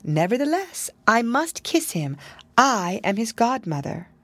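Woman voicing a calm, mysterious little old godmother. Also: fairly high pitch, unhurried, no echo, studio quality, voice pushed up, exaggerated voice. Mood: calm insistence. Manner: calm, knowing. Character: mysterious little old fairy godmother, gentle, solemn, unshakable. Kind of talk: storybook character voice.

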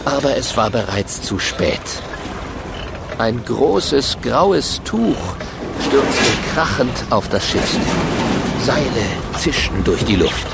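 A man's voice: medium pitch, very animated, slow, creaky, very noisy. A man narrating a children's radio play in a vivid storytelling manner. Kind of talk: scripted audio drama narration.

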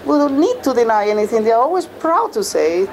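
A woman's voice: fairly high pitch, sing-song, normal speed, low-quality recording. A middle-aged woman from Peru, speaking thoughtfully and a bit hesitantly as a panelist at a forum. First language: Spanish. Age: middle-aged or older. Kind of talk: forum discussion.

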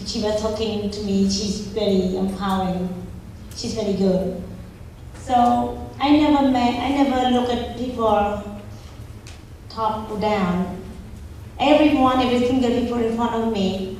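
A woman's voice: medium pitch, a little slow, a little mellow, slight background noise. A woman from Cambodia, whose first language is Pnong, speaking emphatic, simple broken English with a Cambodian accent as a guest answering questions. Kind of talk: interview answers to an audience. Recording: echoey hall, from far off.

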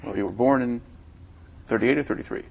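A man's voice: medium pitch, a little fast, creaky, darkish tone, husky, low-quality recording. A man interviewing an older guest for an oral history program, asking short questions and prompting her to go on.

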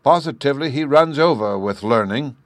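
Jolly fatherly warden voiced by a man, loud, hearty, fond, dry sound. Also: medium pitch, lively intonation, brisk, dry recording, voice pushed up, natural voice. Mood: admiring.

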